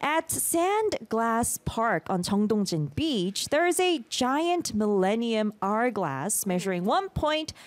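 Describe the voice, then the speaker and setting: A woman's voice: on the high side, sing-song, slow pace, hardly any pauses. A woman hosting a casual quiz chat in an upbeat, encouraging way, laughing often. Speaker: quiz host.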